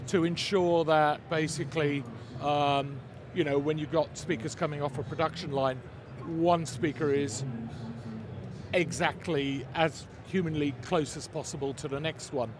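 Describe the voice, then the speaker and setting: A man's voice: a little high, fairly even intonation, measured pace, crowd noise. A man with an English accent answering interview questions at a trade show, stopping and restarting often. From England. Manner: hesitant, many repeated starts. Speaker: speaker company representative. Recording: noisy trade show floor.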